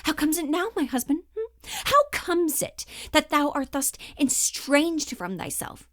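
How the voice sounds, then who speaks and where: A woman's voice: sits high, sing-song, unhurried, fairly bright tone, no echo, clean studio sound, pitched above the performer's own voice, heavily acted. A woman performing a wronged, accusing wife in a theatrical classical stage monologue, in dramatic declamatory verse delivery. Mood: hurt, pleading. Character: wronged Shakespearean wife, passionate, accusing, scorned.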